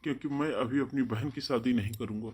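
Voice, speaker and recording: A man's voice: medium pitch, fast, a little mellow, a little hiss or hum, close to an everyday voice. A man voicing a protective, defiant young brother, earnest and firm, in a melodramatic cartoon story.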